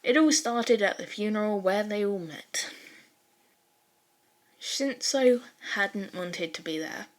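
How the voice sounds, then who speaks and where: A woman with a mid-pitched voice narrates fan fiction like a homemade audiobook. Her reading is expressive and informal, with casual swearing. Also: takes it slowly, pauses often.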